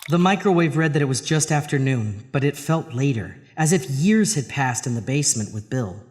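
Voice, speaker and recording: A man playing the haunted narrator of a horror tale, reading in a grave, deliberate, intense dramatic style. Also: medium pitch, animated, long reverb tail, close to an everyday voice. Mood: grim.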